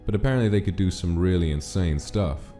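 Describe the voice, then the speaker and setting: A man narrating a dramatic scripted story in a measured way. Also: sits low, music in the background, lightly acted.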